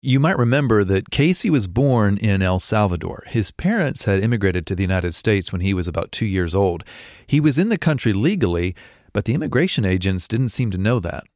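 A man narrating a true story in a calm, measured scripted style. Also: lowish voice, no echo, studio quality.

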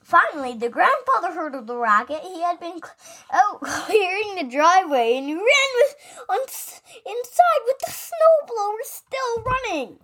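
A woman reading a children's picture book aloud as podcast host, in an animated, expressive way with asides. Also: very high voice, unhurried, slight background noise. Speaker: story reader, podcast host.

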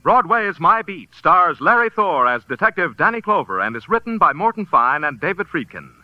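High-pitched, lively 1940s radio announcer man. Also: brisk, slight background noise. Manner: formal, polished announcer delivery. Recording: dull vintage radio recording.

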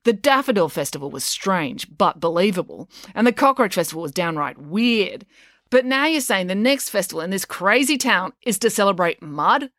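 A woman's voice: mid-range voice, very animated, normal speed, a bit bright, no room sound, clean studio sound, pitched above the performer's own voice. A woman voicing an abrasive, hot-tempered schoolgirl in a children's story, speaking in a snappish, combative way. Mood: incredulous.

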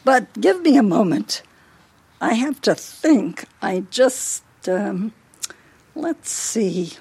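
Casting: woman to play bossy older American wife in radio play, fussy and scolding, slightly raspy, lively pitch swings. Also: slow, exaggerated voice. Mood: pensive, hesitant.

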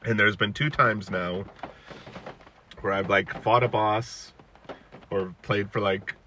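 A middle-aged man, a podcast host and parent, chatting casually with his child, relaxed and chuckling, asking lots of questions. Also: on the low side, slow, a little hiss or hum. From United States.

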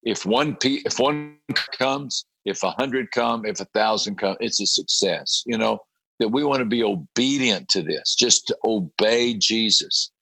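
A man, a pastor, with a raspy voice after a late night, talking in halting conversation, often repeating words and clearing his throat. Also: on the low side, bright, forceful tone, no room sound, low-quality recording. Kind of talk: conversational interview about a prayer group.

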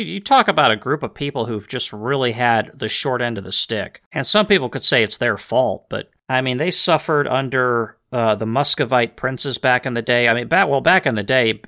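A man talks through a solo podcast with a bright, forward tone. His voice sounds tired after a long day, and he rambles, restarting sentences and filling pauses as he goes. The pitch sits in an ordinary middle range. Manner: rambling with frequent restarts and fillers. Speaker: podcast host. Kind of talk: casual solo podcast monologue. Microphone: headphones on, home podcast mic.

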